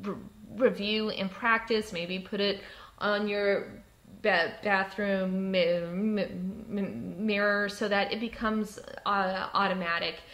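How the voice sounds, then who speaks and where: A woman of medium pitch gives an explanatory talk with personal examples. She stutters markedly, catching on and repeating first sounds. Her delivery is slow, deliberate and composed. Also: steady tone, fairly bright tone. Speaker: presenter giving workplace advice.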